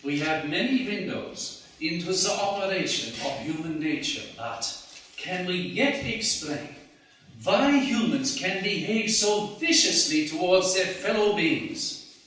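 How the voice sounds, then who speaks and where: A man's voice: high-pitched, lively intonation, a little slow, sharp tone, full character voice. A man playing an earnest German professor who lectures on human nature, with a German accent. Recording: echoey room, distant mic, faint hiss.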